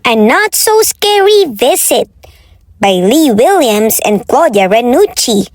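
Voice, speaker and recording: High, animated woman storyteller. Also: takes it slowly. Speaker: children's storyteller. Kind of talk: animated picture-book narration. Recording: faint background noise.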